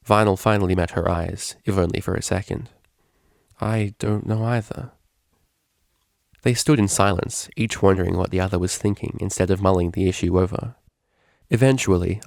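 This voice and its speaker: A man's voice: sits low, gravelly, darkish tone, no echo, studio quality. A man narrating a scripted story as a fan fiction reader.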